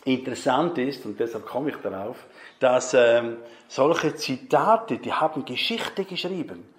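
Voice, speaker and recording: Slow, chatty preacher. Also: male voice, medium pitch. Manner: chatty, asks the congregation questions. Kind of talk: conversational sermon. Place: church hall. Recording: echoey church hall.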